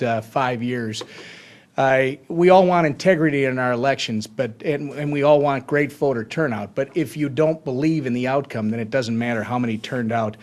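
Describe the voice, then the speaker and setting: A man speaking as a politician at a press conference, deliberate and emphatic as he makes his case. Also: medium pitch.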